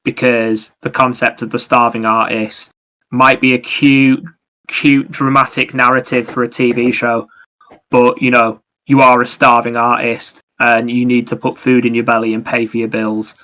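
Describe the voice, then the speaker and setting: A man's voice: mid-range voice, level tone, normal speed, sharp tone. A man chatting casually about video games as a podcast host, explaining things in a rambling way. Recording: dull, lo-fi microphone sound.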